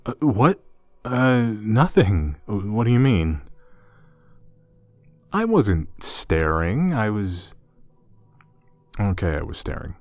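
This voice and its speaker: Deep-voiced easygoing male roommate, acted by a man. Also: very animated, slow pace, long pauses, a little mellow, no room sound, heavily acted. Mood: flustered. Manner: casual, conversational, hesitant trailing asides. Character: easygoing young male roommate, gently teasing, slightly awkward. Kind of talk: intimate ASMR roleplay audio drama.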